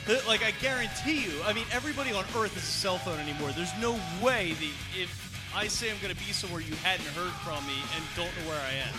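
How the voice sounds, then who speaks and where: A man with a high voice riffs on his grievances in casual banter among friends. He talks in an animated, ranting way, often laughing mid-sentence, and loud music plays throughout the recording. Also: quick pace.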